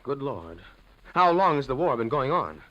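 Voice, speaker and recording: Earnest young time traveler, 1950s radio drama. Forceful, expressive, hesitant bursts, dull vintage sound. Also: male voice, medium pitch, moderate pace. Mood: curious. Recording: muffled old radio recording.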